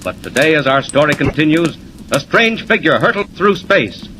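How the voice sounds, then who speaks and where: A dramatic 1940s radio announcer, a man with a fairly high voice and sweeping intonation. He narrates with declamatory suspense through a noisy vintage recording. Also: sing-song, normal speed.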